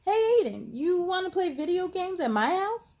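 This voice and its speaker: Storybook boy, voiced by a woman, high, playful, pushy pal, casual and coaxing. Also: sing-song, normal speed, a little mellow. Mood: eager. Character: young boy, pushy playful video-gaming friend. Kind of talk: children's storybook read-aloud.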